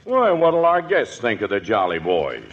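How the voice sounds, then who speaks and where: An actor playing a jovial small-town police chief in a 1940s radio comedy, hearty, placating and teasing. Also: male voice, lowish voice, wildly swooping pitch, moderate pace, slight background noise. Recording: muffled 1940s radio recording.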